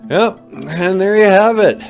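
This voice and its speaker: A man's voice: sits high, unhurried, vocal fry, soft background music. A man voicing a cheerful trickster character who hosts a children's story show in a hearty, exclaiming manner. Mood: cheerful, excited. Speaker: children's book show host. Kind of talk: children's show presenting and picture-book reading.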